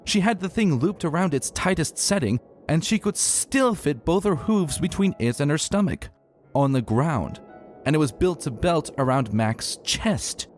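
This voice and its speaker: A man narrating a dramatic story in an expressive, emphatic and colloquial way. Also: fairly high pitch, unhurried. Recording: soft music behind narration.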